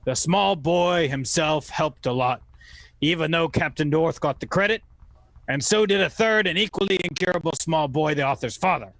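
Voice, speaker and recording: A man giving theatrical scripted narration in an old-time radio manner, oratorical and wry, with deliberate phrasing. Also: fairly high pitch, gravelly, fairly bright tone, no echo, rough recording.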